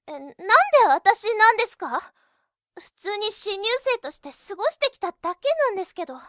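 A woman playing a guarded, blunt, irritable high-school girl in an anime-style voice drama with first-person narration. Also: animated, fairly quick, pushed and bright, no echo, studio quality, exaggerated voice. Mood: bewildered, uneasy.

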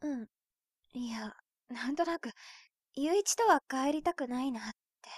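A woman playing a blunt, prickly high school girl in a romantic comedy audio drama, brusque and scolding. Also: measured pace, no room sound, studio quality. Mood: evasive, subdued.

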